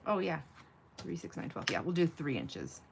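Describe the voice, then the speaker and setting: Female craft stream host, low nasal voice, rambles and thinks aloud informally, slight noise behind her. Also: wildly swooping pitch, normal speed, vocal fry. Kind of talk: casual live chat while crafting.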